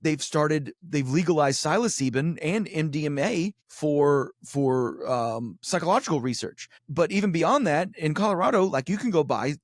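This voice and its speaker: A man's voice: on the high side, moderate pace, hardly any pauses, no room sound, clean studio sound. A middle-aged man chatting casually as a podcast guest, telling rambling, wry, profanity-laced stories with colorful turns of phrase. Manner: animated, profane, wry philosophizing with colorful comparisons. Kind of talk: casual chat, rambling storytelling.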